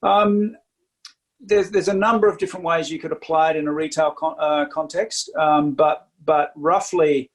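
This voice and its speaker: A man's voice: a little high, rough recording. An Australian man with an Australian accent, a podcast guest explaining his company's technology, filling gaps with many ums. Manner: hesitant, many ums and uhs. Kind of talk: casual interview.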